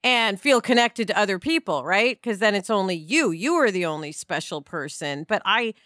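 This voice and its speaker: A woman's voice: mid-range voice, expressive, normal speed, fairly bright tone, dry recording, clean studio sound. A woman co-hosting a podcast in casual chat, playful and jokey as she leads the talk. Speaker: podcast co-host.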